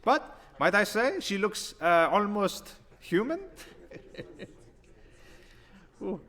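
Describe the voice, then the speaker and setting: A long hall reverb rings around a man playing a showy auctioneer. His high-pitched voice delivers grandiose, wry salesman patter for a fantasy audio drama. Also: expressive, very slow, a bit bright, full character voice. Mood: wry salesmanship.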